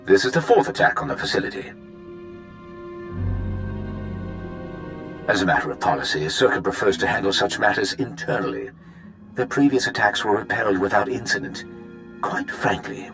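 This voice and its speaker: A suave, self-serving corporate executive from a science fiction game. His voice sits fairly low and swings freely through its range. He talks in polished, glib boardroom language over prominent music. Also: sing-song, fast talker. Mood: dismissive. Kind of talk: video game cutscene acting. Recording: music behind the voice.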